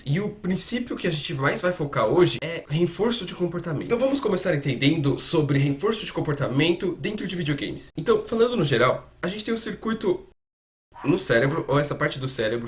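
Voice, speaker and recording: Man voicing animated Brazilian psychiatrist, higher-pitched voice. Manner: addresses the listener directly, informal, with rhetorical questions. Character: male psychiatrist explaining addiction in relatable, informal terms. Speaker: voice actor dubbing a psychiatrist's talk. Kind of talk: conversational explanatory talk, dubbed.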